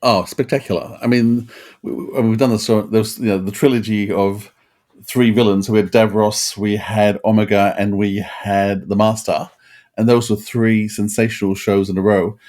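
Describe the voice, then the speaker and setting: A man's voice: lowish voice, little pitch movement, moderate pace, darkish tone. A man co-hosting a podcast, chatting casually as an enthusiast. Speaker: podcast co-host.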